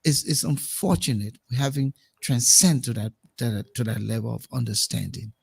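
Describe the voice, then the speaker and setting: A man's voice: mid-range voice, normal speed, vocal fry, husky. A Liberian man with a Liberian accent hosting a current affairs talk show, speaking emphatically and repeating words as he builds his points.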